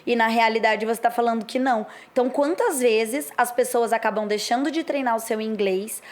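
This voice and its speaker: A woman's voice: a little high, a little fast. A woman in her thirties from Brazil, co-hosting a casual chat and speaking candidly and reflectively in long stretches. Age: 30s. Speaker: co-host.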